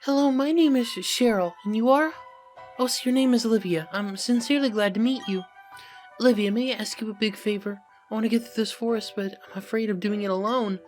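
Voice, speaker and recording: A woman's voice: a little high, brisk, a little mellow, soft background music, lightly acted. A woman voicing a polite, timid, earnest young woman from a video game, speaking courteously. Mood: polite, anxious. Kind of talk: video game character reel.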